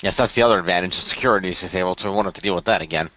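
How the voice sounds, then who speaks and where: A man playing an earnest tech expert in an amateur radio play, explaining things in a wordy, halting, technical way. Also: sits low, sharp tone. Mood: explanatory. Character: earnest male tech expert of a hero team, wordy planner. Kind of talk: amateur radio play, improvised-sounding dialogue. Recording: dull lo-fi voice recording.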